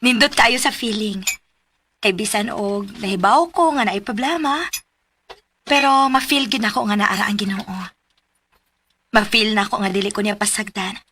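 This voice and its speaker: An actress playing a gentle, devout woman who gently coaxes a troubled friend to open up, in a radio drama. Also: medium pitch, normal speed, long pauses, a little hiss or hum, natural voice. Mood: caring. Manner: warm, coaxing, concerned.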